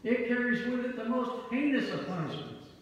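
A middle-aged pastor with a Midwestern American accent, preaching a sermon with emphatic delivery in a church sanctuary, using a handheld mic. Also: male voice, very high voice, brisk. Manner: emphatic preaching with wide gestures, rising to loud peaks. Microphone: handheld mic, far camera. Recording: echoey hall, distant and dull.

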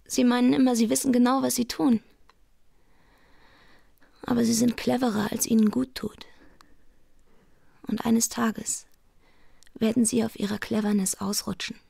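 Husky, very creaky, shrewd secretary. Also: female voice, on the high side, little pitch movement, a little slow, pauses often, warm tone, dry recording, full character voice. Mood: reproachful, knowing. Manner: teasing, knowing, frank. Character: wry, shrewd, loyal female secretary. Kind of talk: German radio crime drama acting.

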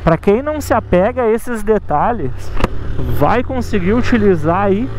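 A man's voice: high voice, animated, unhurried, darkish tone, slight background noise. A Brazilian man giving a casual, conversational tutorial to his viewers, often checking in with short tag questions. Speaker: motorcycle courier video host.

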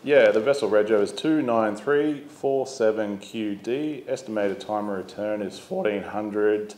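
A man's voice: lowish voice, unhurried, a little mellow. An Australian man in his thirties giving an informal, plain-spoken instructional presentation, explaining things step by step. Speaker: volunteer coast guard instructor presenting a video. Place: boat on water, open garage, radio room. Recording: roomy echo, clear ambient noise.

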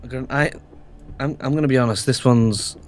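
A young man in his twenties improvising a Minecraft roleplay with excitable, playful banter. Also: mid-range voice, brisk, faint music behind. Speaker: roleplay player.

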